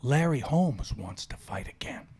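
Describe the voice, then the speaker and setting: A man's voice: mid-range voice, animated, unhurried, darkish tone, breathy edge. A man in his forties hosting a sports podcast, chatting casually in an opinionated, slangy, storytelling way. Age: 40s. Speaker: podcast host.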